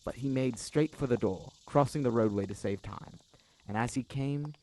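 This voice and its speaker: A man's voice: medium pitch, normal speed, warm tone, a little hiss or hum, rough recording. A young man reading scripted literary narration for an audio drama in a formal, dramatic storytelling manner.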